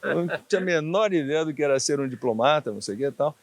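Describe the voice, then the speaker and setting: A man in his sixties from Bahia with a faded Bahian accent, telling anecdotes in a relaxed, chatty interview and laughing often. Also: fairly high pitch, very fast, no echo. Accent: faded Bahian Brazilian Portuguese. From Bahia, Brazil. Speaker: guest, former diplomat.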